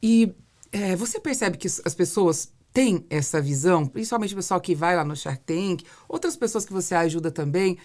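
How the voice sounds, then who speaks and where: A woman's voice: on the low side, expressive, normal speed, darkish tone. A Brazilian woman hosting a casual interview, explaining her thoughts at length and building points through repetition. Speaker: podcast host and business mentor.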